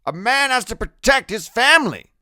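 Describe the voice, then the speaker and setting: A man's voice: very high voice, very animated, normal speed, bright, forceful tone, dry recording, studio quality, voice pushed up, full character voice. Man playing a stubborn, emphatic old grandfather. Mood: resolute, angry.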